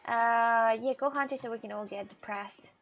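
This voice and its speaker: A woman's voice: a little high, normal speed, fairly bright tone, soft background music. A woman from Russia in her twenties, chatting casually and animatedly on a live stream, often repeating herself.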